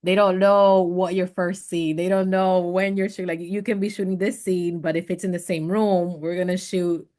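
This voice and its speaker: A woman's voice: moderate pace, hardly any pauses. A middle-aged woman, an actress, chatting casually over a video call and acting out what others said. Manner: animated, acts out quoted people with big jumps in pitch. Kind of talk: casual conversation.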